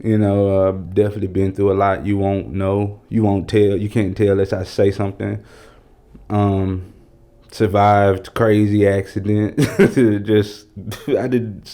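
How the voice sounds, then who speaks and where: Male, 40s, podcast guest. Deep-leaning, very mellow delivery, conversational and slangy. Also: little pitch movement, a little slow. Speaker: podcast guest, brand strategist and producer.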